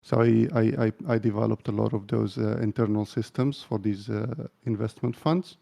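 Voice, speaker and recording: A man's voice: lowish voice, steady tone, moderate pace, creaky, warm tone. A middle-aged man from Algeria, a tech executive, answering interview questions casually and hesitantly with many fillers. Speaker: CTO.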